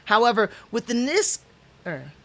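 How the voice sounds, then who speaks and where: A man's voice: extremely high pitch, unhurried. Rambling man reviewing anime on stream. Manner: rambling, restarts, stumbles over names, chuckles; animated, gestures with hands while talking. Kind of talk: casual unscripted review. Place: small home room indoors.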